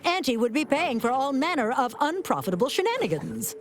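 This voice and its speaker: A woman's voice: high-pitched, sing-song, fairly quick, over music. A woman voicing a greedy, penny-pinching corporate boss in a video game, pompous, theatrical and articulate. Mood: appalled.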